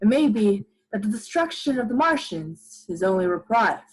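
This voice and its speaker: A young woman playing a solemn scientist survivor, narrating a radio play in grave, literary, deliberate phrases. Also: mid-range voice, very animated, faint music behind, lightly acted, poor audio. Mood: reflective. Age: young adult. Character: solemn scientist survivor recounting a devastated world. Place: living room at home, video call.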